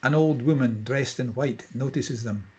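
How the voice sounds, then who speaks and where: A man's voice: medium pitch, normal speed, slightly rough. A man narrating the stage directions at a screenplay table read, in a scripted narration style.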